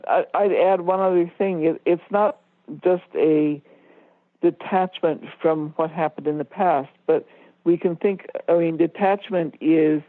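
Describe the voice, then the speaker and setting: Over a thin, phone-like line with little room sound, a female radio co-host speaks in a conversational, reflective way. Her delivery is halting, as she searches for the exact words. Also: sits low, no room sound.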